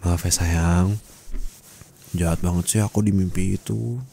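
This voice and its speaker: A man playing a gentle, caring husband in an ASMR roleplay, speaking in a tender, coaxing, reassuring way. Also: low-pitched, fairly even intonation, unhurried, creaky, slight background noise. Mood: soothing, apologetic. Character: gentle caring young husband comforting his distressed wife.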